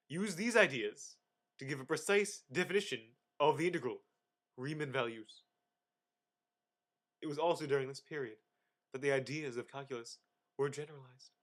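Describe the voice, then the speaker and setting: Amateur male reader, slightly high-pitched, theatrical delivery of scholarly text, pompous swells and dramatic pauses. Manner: mock-grandiose, with sudden emphatic swells and dramatic pauses.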